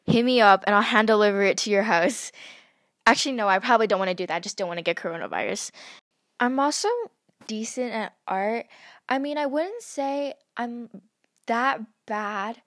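A woman's voice: a little high, moderate pace, a bit bright, no echo, clean studio sound. A teenage girl rambling about herself in a casual podcast at home, giggling and joking at her own expense. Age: teens. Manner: giggly, self-mocking, chatty. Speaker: podcast host. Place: home bedroom at night.

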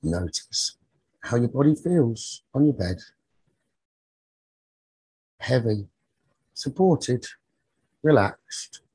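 Very husky, slow man guiding sleep meditation. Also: mid-range voice, big pitch swings, mellow, no echo, rough recording. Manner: soothing, short phrases with long pauses. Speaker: meditation teacher leading a guided sleep talk-down.

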